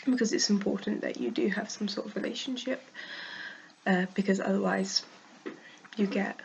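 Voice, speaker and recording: A woman with a cold and a croaky voice, frankly venting in a casual vlog and laughing often. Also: mid-range voice, fairly even intonation, normal speed, darkish tone, slight background noise, rough recording. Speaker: vlogger.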